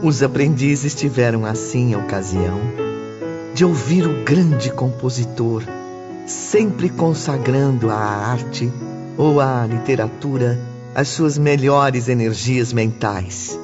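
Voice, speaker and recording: A man's voice: mid-range voice, slow pace. A Brazilian man giving formal, explanatory scripted narration for a dramatised audiobook. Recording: dull, long reverb, music bed.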